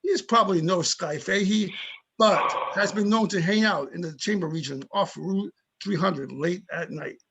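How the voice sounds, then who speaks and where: Man to play small-town older police chief for a play reading: tough but friendly, opinionated, husky and high for a man. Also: normal speed, fairly bright tone, lo-fi sound, full character voice. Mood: disdainful. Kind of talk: amateur readers theater reading over videoconference.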